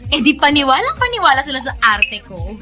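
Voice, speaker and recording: Young filipina schemer for radio drama, high bright voice, pushy and animated. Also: female voice, brisk, soft background music, heavily acted. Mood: gleeful, scheming. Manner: brash, animated, coaxing. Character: scheming, gleeful young woman feigning friendliness.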